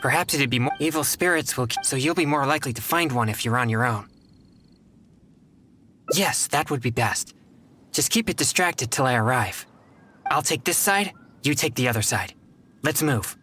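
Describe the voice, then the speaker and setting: A woman playing a composed, earnest young spirit investigator in a video game, measured, stoic and reassuring. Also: extremely low pitch, expressive, a bit bright, faint music behind. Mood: composed, decisive.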